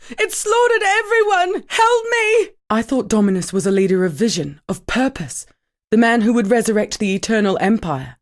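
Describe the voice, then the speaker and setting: An actress playing an earnest, embittered defector from a dark imperial legion in a fantasy game, speaking in an articulate, formal, grave manner. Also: female voice, medium pitch, no echo, studio quality. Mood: terrified, then bitter, impassioned. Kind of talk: dramatic fantasy game dialogue.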